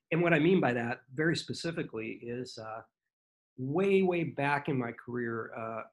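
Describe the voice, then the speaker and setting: A man in his sixties, a podcast guest, telling self-deprecating stories in casual chat, with many fillers and restarts. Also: mid-range voice. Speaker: guest, franchise consultant. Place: home.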